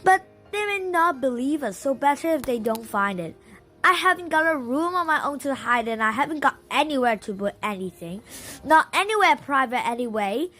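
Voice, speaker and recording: A woman's voice: sits high, animated, moderate pace, pushed and bright, faint music behind, voice pushed up. Woman reading as an eager, earnest young storybook boy. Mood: practical, persuading.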